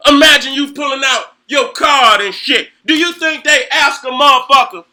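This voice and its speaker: A man's voice: very high-pitched, normal speed, pushed and bright. A middle-aged man hosting his own show, delivering a heated, profane monologue full of rhetorical questions and repeated "you know what I'm saying.". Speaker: host.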